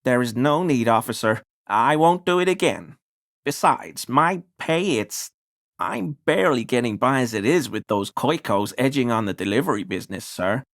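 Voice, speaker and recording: A man's voice: mid-range voice, lively intonation, moderate pace, fairly bright tone. A male actor playing a nervous, apologetic townsman in a video game, pleading and hesitant as he answers to the police. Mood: meek, evasive. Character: nervous, grovelling small-time bigoted townsman under police questioning. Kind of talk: scripted video game character dialogue.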